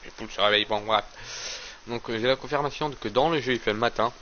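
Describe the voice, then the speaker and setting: A man's voice: medium pitch, fast, pushed and bright, a little hiss or hum. Man with a cold rambling over a stream. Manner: rambling, stammering with repeated words. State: has a cold, slightly hoarse. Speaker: game streamer. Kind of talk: casual let's play commentary. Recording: slightly dull lo-fi stream audio.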